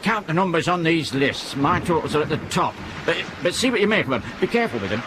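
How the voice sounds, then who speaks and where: An actor playing a brisk, practical airline crewman calling out orders in an urgent radio drama. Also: sing-song. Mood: businesslike, concerned. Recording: noisy radio drama with engine rumble.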